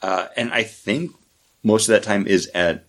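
A man with a lowish voice chats casually on a podcast. He rambles a little as he talks things over with friends. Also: a little slow. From lives in Orlando area. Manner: relaxed, rambling, talks through beer and theme parks. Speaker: podcast host.

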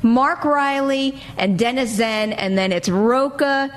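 A woman's voice: high voice, slow. A woman hosting a movie news panel show, chatting in an emphatic, enthusiastic way. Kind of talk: lively panel chat and hosting.

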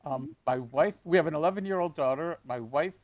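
A man with a slightly raised pitch, chatting easily about life abroad. He tells anecdotes with lively play-acting. The sound is dry and close. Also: a little fast, no echo, lo-fi sound. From Detroit, USA. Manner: animated storyteller, acts out customers in high voices. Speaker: guest, store owner. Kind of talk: casual chat.

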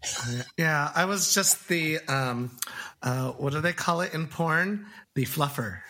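A man's voice: fairly high pitch, slow pace, bright, forceful tone. A man co-hosting a casual podcast chat, speaking in a dry, teasing way. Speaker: podcast co-host.

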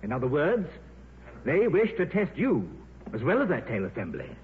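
An actor playing a candid, concerned colleague delivering bad news in a 1950s radio drama. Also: male voice, a little high, huge pitch swings, normal speed. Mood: grave, concerned. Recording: narrow hissy 1950s radio recording with hum.

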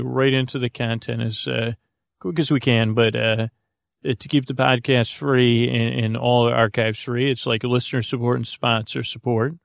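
Male bedtime podcast host: gravelly, flat-pitched, lulling, with meandering hesitant introductions. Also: dry recording, studio quality. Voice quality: creaky. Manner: meandering, hesitant, lulling delivery with self-corrections.

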